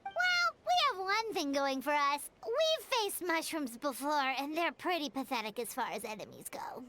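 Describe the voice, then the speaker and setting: A woman voicing a tiny childlike fairy companion in a video game, squeaky, excitable, blunt and sassy. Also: normal speed, heavily acted. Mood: sassy, skeptical. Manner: refers to self in third person, exclamatory. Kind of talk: animated video game voice acting. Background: game music.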